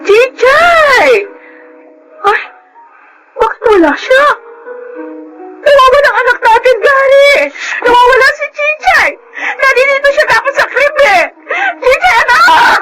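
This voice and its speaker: Very high-voiced anguished Filipino mother. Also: unhurried, shouting, music in the background, rough recording. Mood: frantic denial. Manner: wailing, pleading, melodramatic. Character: anguished young mother in denial over her child's death. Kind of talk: Filipino radio drama acting.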